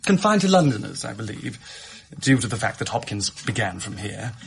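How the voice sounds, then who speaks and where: An actor playing a smooth-talking assistant in a radio drama, coaxing and theatrical. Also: male voice, fairly low pitch, very animated, moderate pace, a bit bright, a little hiss or hum, heavily acted. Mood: confiding. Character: smooth-talking, persuasive, crafty pawnbroker's assistant. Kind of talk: British radio drama acting.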